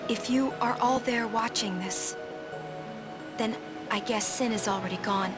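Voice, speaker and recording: Actress doing a soft-hearted young summoner girl from a game, slow and solemn, music behind her. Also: on the high side, natural voice. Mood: earnest, grateful. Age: young adult. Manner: measured, earnest, halting phrases. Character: gentle, resolute young summoner woman, solemn and grateful. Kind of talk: video game voice acting. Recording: game dialogue under music.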